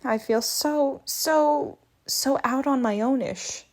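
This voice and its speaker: A woman reading a comic book aloud in a lively way, changing her voice for each character. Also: high-pitched, slow pace. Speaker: read-aloud narrator.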